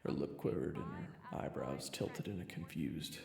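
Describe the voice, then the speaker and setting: An actor playing a weary, remorseful assassin and young father in an audio roleplay, gravelly and halting as he talks and narrates. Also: crowd noise. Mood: pensive narration. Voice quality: very deep, gravelly. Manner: halting, hesitant, conversational narration. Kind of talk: first-person audio roleplay drama. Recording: echoey, long reverb.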